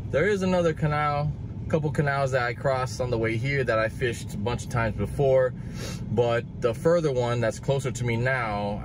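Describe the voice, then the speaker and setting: A man in his forties, a fishing vlogger, talking casually to a handheld camera outdoors by a canal, a bit out of breath from the heat. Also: mid-range voice. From South Florida. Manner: chatty, self-talking, upbeat. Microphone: handheld camera at arm's length.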